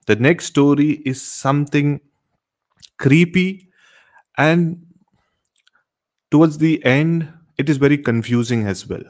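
A man's voice: a little high, slow pace, pauses often, darkish tone. Man hosting a horror show. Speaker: host of a horror storytelling live show. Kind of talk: live stream presenting to viewers.

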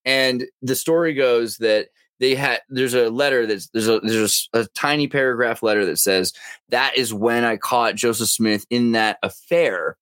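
A man's voice: medium pitch, moderate pace, no echo. A man hosting a podcast in casual, jokey chat, slipping into mock voices now and then. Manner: animated, jokey, mimics voices in asides. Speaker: podcast host.

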